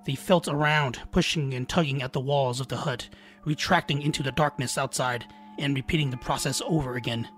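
A man narrating a scripted scary folktale as a storyteller, with dramatic, suspenseful delivery. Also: medium pitch. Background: background music.

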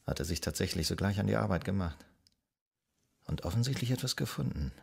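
An actor playing a composed, gently teasing Viennese psychoanalyst in a German audio drama, with a gravelly, husky voice. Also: male voice, low voice, heavily acted. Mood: intrigued.

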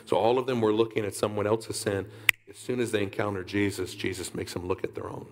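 A man in his thirties preaches from a large church stage in a very deep voice. He swings from hushed, whispered lines to loud calls for the congregation to answer back. A faint hum sits under the sermon. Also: fairly even intonation, fairly quick. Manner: preaching with sudden whispered drops and loud rises. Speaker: pastor.

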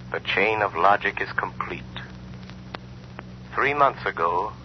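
An actor playing a calm, all-knowing mysterious avenger, measured and commanding, in 1930s radio drama style. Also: male voice, sits low. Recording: muffled, noisy 1930s radio recording.

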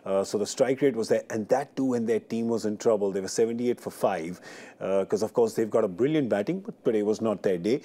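Animated male studio host. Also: lowish voice. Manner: animated, jokey, quick affirmations. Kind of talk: post-match studio discussion.

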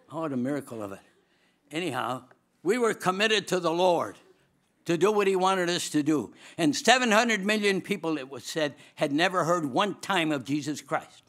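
Older man telling a lively sermon story. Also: a little high. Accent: Swedish. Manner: lively, humorous, laughs often, gestures broadly. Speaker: chapel speaker, former dean. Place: large auditorium stage at a lectern. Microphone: lectern microphone.

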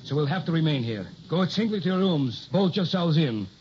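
A man acting a worried, secretive older scientist in a 1960s radio drama, speaking in a measured, formal, solemn way. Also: a little high, expressive, a little mellow, close to an everyday voice. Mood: grave, confessing. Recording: muffled, noisy old radio recording.

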